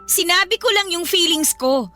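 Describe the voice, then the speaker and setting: High, bright-voiced hurt teenage daughter. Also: female voice, moderate pace, faint music behind. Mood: hurt, protesting. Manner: plaintive, protesting. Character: hurt, defiant eighteen-year-old daughter. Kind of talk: Tagalog radio drama acting.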